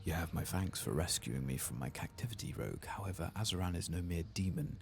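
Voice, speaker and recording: A man voicing a solemn, commanding fantasy demon hunter, reading game dialogue in a hushed, formal, measured way. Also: low-pitched, expressive, vocal fry, a little hiss or hum, pitched above the performer's own voice. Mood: solemn, vengeful. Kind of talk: soft-spoken dramatic reading of game text.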